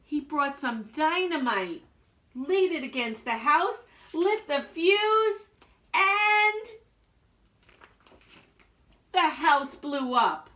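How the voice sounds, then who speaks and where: A woman's voice: high-pitched, lively intonation, drawn-out words, pauses often, fairly bright tone. A woman reading a children's storybook aloud with dramatic emphasis, like a school principal addressing young listeners.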